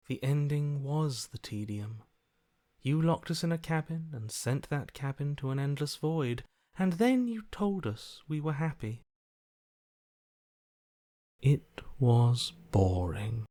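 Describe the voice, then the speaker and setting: Cold bored game character, slow deadpan delivery, totally detached and aloof. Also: male voice, medium pitch, no echo, clean studio sound. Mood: bored, contemptuous. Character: cold, detached, bored male inner voice indifferent to death. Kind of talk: video game character voice acting.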